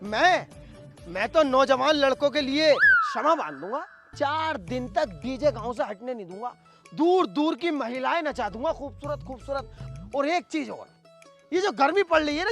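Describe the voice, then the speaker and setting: A very high, forceful voice from a male actor plays a quarrelsome village candidate in a comedy sketch. He is boastful and theatrical, quick to flare up. Music plays underneath. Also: wildly swooping pitch, heavily acted. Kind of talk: broad comedy sketch acting. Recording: background music under dialogue.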